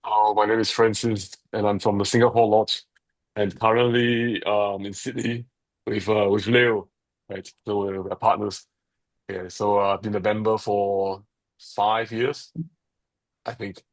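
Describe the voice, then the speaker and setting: A man with a low, very flat voice introduces himself unsurely. His voice sounds dry and close. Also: measured pace, no room sound, low-quality recording. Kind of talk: casual self-introduction.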